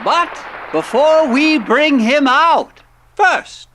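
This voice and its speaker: Grandiose, high-strung variety host in a radio play: very high voice, slow delivery. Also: male voice, animated, sharp tone, a faint crowd, a little hiss or hum, heavily acted. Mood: grand, showy. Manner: flamboyant, grandiose showman. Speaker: show host. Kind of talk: theatrical audio drama acting.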